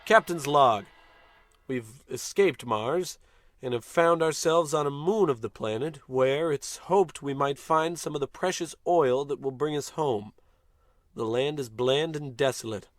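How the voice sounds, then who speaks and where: An actor playing a bossy, pompous, short-tempered space captain in a scripted old-time radio comedy. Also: male voice, a little high, a little slow, no echo, close to an everyday voice. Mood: solemn log. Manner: pompous, blustering, commanding.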